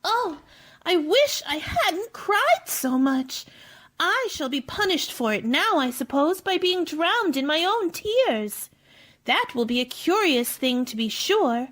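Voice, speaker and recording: A woman's voice: extremely high pitch, sing-song, slow pace, fairly bright tone, heavily acted. A woman playing a polite, curious young girl in a radio play, talking with mannered wonder.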